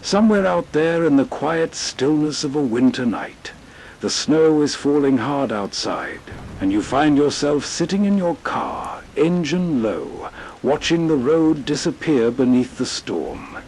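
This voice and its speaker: A man narrates as a late-night story host in a slightly high voice. He takes his time, with soothing pauses and atmospheric scripted phrasing, over a faint hiss. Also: animated, a little mellow, poor audio. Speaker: host introducing old radio stories.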